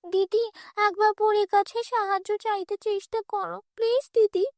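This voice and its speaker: Woman acting little orphan girl, extremely high pitch, imploring, hopeful, cartoon fairy tale, dry sound. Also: steady tone, moderate pace, darkish tone, dry recording. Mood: pleading. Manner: earnest, imploring. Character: pleading, hopeful young orphan girl, younger sister.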